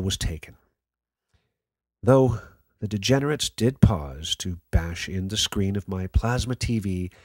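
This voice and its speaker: A man playing a sardonic, sceptical late-night radio host, with a wry, theatrical manner and a husky voice. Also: sits low, no room sound, studio quality, exaggerated voice. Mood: wry, grandiose. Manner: wry, theatrical, deadpan sarcasm. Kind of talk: radio play acting as talk host.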